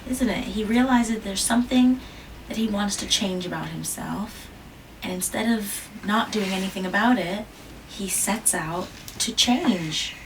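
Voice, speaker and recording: A woman reading a children's picture book aloud, gentle, with dramatic whispers and asides. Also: medium pitch, measured pace. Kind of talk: read-aloud storytelling. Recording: distant mic with background hiss.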